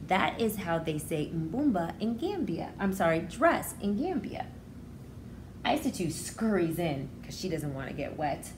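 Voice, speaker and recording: Female teacher for a children's read-aloud, lively intonation, explains as she goes, noisy recording. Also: on the low side. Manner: expressive, simple explaining to young children. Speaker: preschool educator.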